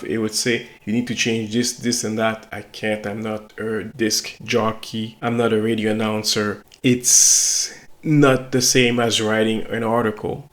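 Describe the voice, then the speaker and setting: A middle-aged man with a French Canadian accent talks to his viewers in a level, even tone that barely rises or falls. His speech is reflective and self-conscious and wanders through restarts. His pitch sits in the usual middle range for a man. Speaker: toy reviewer and site owner. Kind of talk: casual talk to camera.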